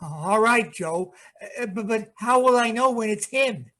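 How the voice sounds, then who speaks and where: An actor playing a slow-witted, devoted, shell-shocked war veteran in an old-time radio drama, speaking in halting, repetitive phrases. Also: male voice, high-pitched, expressive, sharp tone, full character voice. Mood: hesitant, obedient. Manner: hesitant, halting, repeats words.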